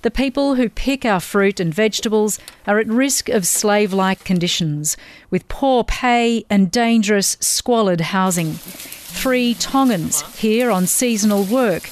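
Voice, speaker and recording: A woman delivering a serious television news report. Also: medium pitch. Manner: serious, measured.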